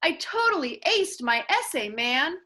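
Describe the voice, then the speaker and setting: A woman's voice: high voice, sing-song, a little slow, sharp tone, pitched above the performer's own voice, exaggerated voice. A woman voicing a super enthusiastic, slangy middle school boy in a storybook reading. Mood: excited. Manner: eager, slangy, exclaiming.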